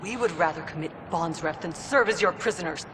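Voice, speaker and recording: A woman's voice: fairly low pitch, brisk, noisy background, lightly acted. An actress playing a proud, defiant captured warrior woman in a video game, speaking with haughty, formal contempt. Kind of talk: dramatic video game acting.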